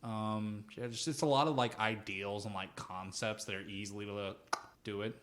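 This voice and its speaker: A man co-hosting a casual tabletop gaming chat, rambling through anecdotes with frequent swearing and an animated storytelling manner. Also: fairly low pitch, moderate pace. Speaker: podcast co-host. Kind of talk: casual chat about tabletop role-playing.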